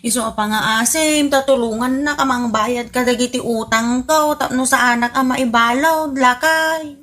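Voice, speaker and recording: A woman's voice: sits high, moderate pace, a bit bright. A woman voicing a wife in debt who pleads with her husband in a whiny tone. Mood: pleading. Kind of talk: comedy radio drama acting.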